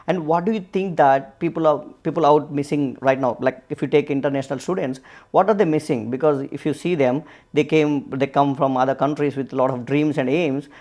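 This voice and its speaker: A man's voice: medium pitch, a little mellow. A man hosting a podcast interview. He asks questions casually, with lots of fillers. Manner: casual, lots of uh and you know. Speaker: podcast host.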